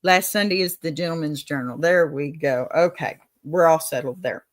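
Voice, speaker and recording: Casting: Texan woman, talk-show host, warm folksy drawl, slightly low pitch. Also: moderate pace. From Texas. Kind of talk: casual livestream advice chat. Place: home setup, on camera.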